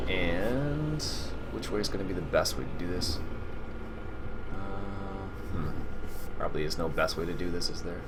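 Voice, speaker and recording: A Canadian man with a Canadian English accent giving casual, rambling commentary while playing a game, full of filler sounds and small chuckles. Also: sits low. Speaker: let's play host. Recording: steady loud game engine noise underneath. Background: farm vehicle engine sounds from the game.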